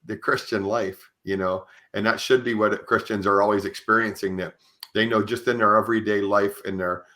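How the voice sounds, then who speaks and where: Guy hosting a podcast, lowish voice, chatting and telling stories, stops and starts a bit. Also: steady tone, normal speed, pushed and bright. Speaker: podcast host. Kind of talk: conversational interview with personal anecdotes.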